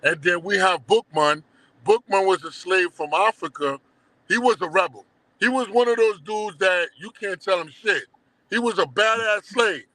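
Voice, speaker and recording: A middle-aged man with a Haitian accent, guest on a casual livestream interview, telling animated stories full of slang and swearing. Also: sits high, moderate pace, no room sound, low-quality recording. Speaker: guest, documentary producer.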